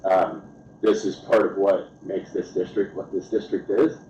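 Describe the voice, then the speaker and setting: A man at a school board meeting speaks plainly and gratefully, with halting restarts. His voice is low and warm. The recording is roomy, slightly dull, with faint hiss. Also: flat delivery. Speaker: school administrator thanking staff. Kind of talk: informal remarks at a meeting. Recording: echoey, dull meeting-room sound.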